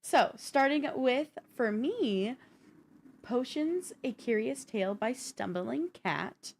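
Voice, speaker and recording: A woman's voice: on the high side, lively intonation, slow, gravelly, a little hiss or hum. A woman co-hosting a video game podcast, chatting casually and explaining things in an informal way. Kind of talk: casual gaming talk show chat.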